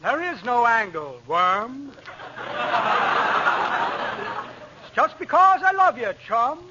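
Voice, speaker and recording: An actor playing a pompous, flowery, slightly shady blowhard in a grandiloquent 1940s radio comedy style. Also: male voice, very high-pitched, sing-song, a little slow, heavily acted. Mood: oily. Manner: grandiloquent, theatrical. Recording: noisy, muffled vintage radio recording.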